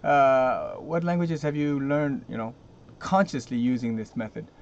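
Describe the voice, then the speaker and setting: A man's voice: mid-range voice, steady tone, unhurried. A man hosting an interview, talking casually with many fillers and restarts. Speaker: interview host. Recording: dull lo-fi line with faint noise.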